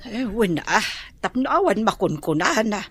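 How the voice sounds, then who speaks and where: An actress in a radio drama playing a soft-spoken, generous middle-aged village mother who talks hesitantly. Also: medium pitch, very animated, normal speed, over loud music, natural voice.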